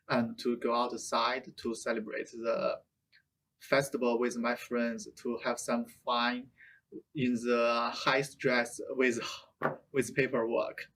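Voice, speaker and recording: A young man from China, a student answering interview questions in a halting way. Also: mid-range voice, fairly bright tone, poor audio. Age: young adult. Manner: halting, searching for words.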